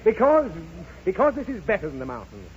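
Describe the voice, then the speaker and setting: Exasperated, animated radio daddy. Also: male voice, sits high, a little fast. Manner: irritable, emphatic. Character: exasperated, impatient father worn out by his child. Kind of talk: 1930s radio comedy acting. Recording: old 1930s radio broadcast, muffled, hissy.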